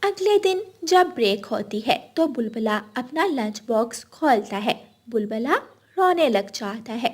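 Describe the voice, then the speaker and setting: A woman's voice: on the high side, expressive, measured pace, breathy edge. A woman narrating a scripted children's cartoon story.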